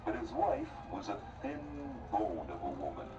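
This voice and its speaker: A man's voice: low voice, huge pitch swings, normal speed. A man narrating a fairy tale for a stage play in a theatrical storybook style. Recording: very noisy, distant stage recording.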